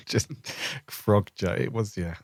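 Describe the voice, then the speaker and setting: A man's voice: on the low side, animated, very slow, darkish tone, dry recording, clean studio sound. A man co-hosting a podcast in casual chat, easygoing and joking, laughing at his own puns. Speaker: podcast co-host.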